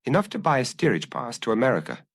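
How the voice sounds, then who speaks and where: A man voicing a dejected, fearful murderer in an audiobook, dull, halting and desperate. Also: medium pitch, wildly swooping pitch, no echo, studio quality. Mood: pleading. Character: dejected, fearful murderer selling his secret. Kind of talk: audiobook character acting.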